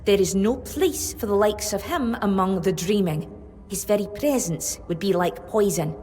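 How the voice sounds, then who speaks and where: An actress playing a solemn, devoted tribeswoman in a fantasy video game, speaking gravely and earnestly with longing. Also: medium pitch, a little mellow, lightly acted. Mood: grim, determined. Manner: grave, earnest, measured delivery. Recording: long reverb with music behind.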